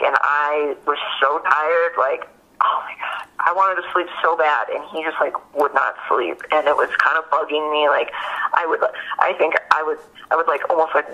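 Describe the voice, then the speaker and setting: A woman's voice: low-pitched, pushed and bright. A woman being interviewed over the phone, recounting casually and hesitantly with lots of filler words. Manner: hesitant, filler-heavy, frequent use of "like". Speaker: witness interviewed by an investigator. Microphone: telephone. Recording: dull, lo-fi phone call recording.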